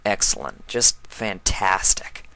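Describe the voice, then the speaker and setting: A man's voice: deep voice, lively intonation, takes it slowly, creaky, bright, forceful tone, dry recording, exaggerated voice, poor audio. A man voicing a proud, short-tempered archaeologist mare from a story, exasperated and sarcastic as she mutters to herself.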